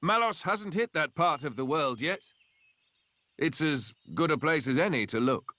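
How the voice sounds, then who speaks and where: A man's voice: fairly high pitch, expressive, normal speed, pushed and bright, no echo. An actor playing a confident, earnest young nobleman in a fantasy game, articulate and encouraging. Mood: composed, decisive.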